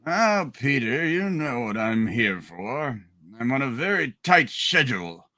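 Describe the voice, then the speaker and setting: A man's voice: medium pitch, sing-song, measured pace, sharp tone. A man voicing a cold, mean, rich old villain with a haughty, disdainful manner in an amateur radio play reading. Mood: disdainful, menacing.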